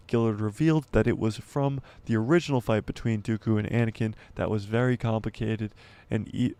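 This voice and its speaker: A man hosting a casual livestream, reading an article aloud and commenting as he goes, stumbling and correcting himself, his voice going hoarse. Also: on the low side, dark tone. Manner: rambling, stumbles and self-corrects, laughs at himself. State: losing his voice, clearing throat. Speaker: YouTube livestream host.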